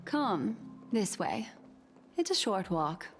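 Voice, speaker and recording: A woman playing an enigmatic, soft-spoken fortune-teller in a video game, speaking in a measured, polite and alluring way. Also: mid-range voice, very animated. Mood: gentle, enigmatic. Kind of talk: scripted video game character acting. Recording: music behind the voice.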